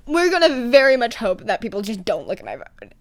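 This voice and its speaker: A young adult woman chatting casually and excitedly to listeners as a podfic reader, rambling in slangy, emphatic speech with some swearing. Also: on the high side, gravelly.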